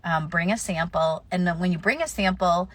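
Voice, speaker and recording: A woman's voice: fairly low pitch, normal speed, no room sound. Woman with a cold giving casual advice. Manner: practical, conversational, many fillers. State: slightly stuffy with a cold. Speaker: florist answering viewer questions.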